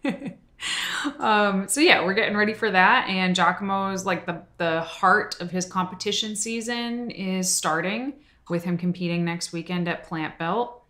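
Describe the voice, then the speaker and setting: A woman co-hosting a podcast, talking in a casual, chatty way with quick asides. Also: mid-range voice, sharp tone. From Boston area. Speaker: podcast co-host.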